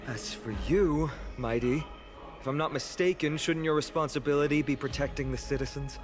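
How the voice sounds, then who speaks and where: A man playing an earnest, responsible young hero in a dramatic video game, firm and measured. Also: on the high side, lightly acted. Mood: stern. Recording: game music behind the voice.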